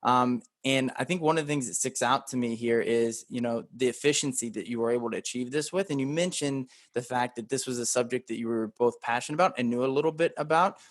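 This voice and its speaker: A man's voice: mid-range voice, quick pace, hardly any pauses, no echo, clean studio sound. A man hosting a podcast, asking long, winding questions full of fillers like "um" and "you know.". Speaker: podcast host. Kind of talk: interview questions.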